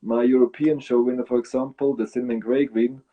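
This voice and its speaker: A Swiss man with a Swiss German accent, speaking English as a guest in a casual interview, thoughtful and halting with many fillers. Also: medium pitch, flat delivery, warm tone, highs cut off. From Switzerland. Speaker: guest breeder.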